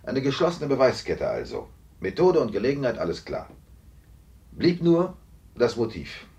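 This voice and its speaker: An actor in a radio play playing an authoritative police investigator, speaking in measured, deliberate phrases. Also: male voice, medium pitch, wildly swooping pitch, a little mellow, distant mic. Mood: controlled, frank. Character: calm, authoritative police investigator confronting a suspect he freed. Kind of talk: German radio play acting.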